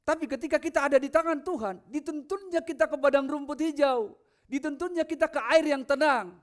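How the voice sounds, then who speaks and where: Male pastor, high voice, emphatic sermon delivery that moves between quiet asides and loud proclamation. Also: brisk. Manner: rhetorical questions to the congregation. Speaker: pastor preaching. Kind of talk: sermon with scripture readings.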